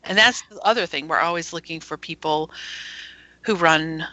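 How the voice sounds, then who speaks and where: Bright, dry-sounding woman guest. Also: deep voice, normal speed, no room sound, low-quality recording. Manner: laughs often, enthusiastic. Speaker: internet radio station owner, guest. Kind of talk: casual interview chat.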